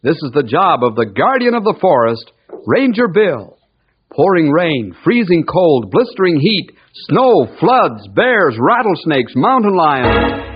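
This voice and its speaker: Male old-time radio announcer, fairly high, very expressive, declamatory, muffled vintage sound. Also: unhurried, husky. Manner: emphatic, declamatory. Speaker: announcer and narrator.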